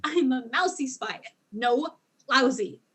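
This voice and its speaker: An actress playing a tipsy, hapless, naive alien spy in an audio drama, rambling as if drunk. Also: female voice, sits high, lively intonation, takes it slowly, a bit bright, husky, exaggerated voice. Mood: tipsy, self-pitying. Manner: slurred, rambling drunk talk.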